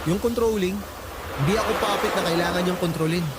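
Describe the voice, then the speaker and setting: A man's voice: high voice, quick pace, heavy background noise, natural voice. A man acting a cocky, careless young rich playboy in a Filipino radio drama, breezy and dismissive in casual slang. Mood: breezy, bossy. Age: young adult. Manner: breezy, self-assured, dismissive. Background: loud music bed.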